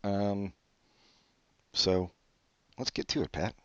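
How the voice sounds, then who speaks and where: Man, very low voice, dry deadpan rambling, dull dry lo-fi sound. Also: takes it slowly, clear, resonant tone, no echo. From born in Brazil, raised in California. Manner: dry, rambling, swears casually. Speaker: podcast co-host. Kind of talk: casual comedic chat.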